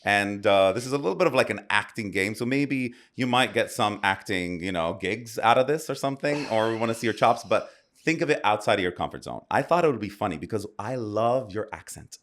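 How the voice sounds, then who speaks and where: An American man hosts a relaxed talk show in a low voice. He is enthusiastic and rambles, often restarting his sentences. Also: fairly quick. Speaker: host. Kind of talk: casual chat.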